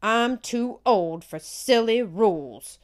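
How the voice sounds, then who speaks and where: A woman voicing a feisty, defiant old great aunt in a storybook, loud and huffy. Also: on the high side, expressive, slow pace, voice pushed up.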